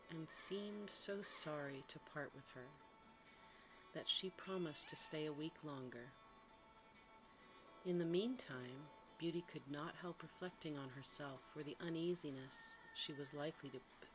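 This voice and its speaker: A woman softly reading a fairy tale as a quiet ASMR bedtime storyteller. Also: low-pitched, rough recording. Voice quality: soft, quiet.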